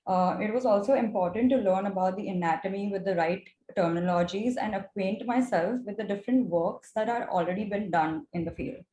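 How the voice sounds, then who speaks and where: A young woman with an Indian accent and Gujarati as her mother tongue presents prepared material. Her voice sits at an average pitch. The recording is roomy and echoey, with some background noise. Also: warm tone. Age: young adult. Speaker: mentorship student presenting her project.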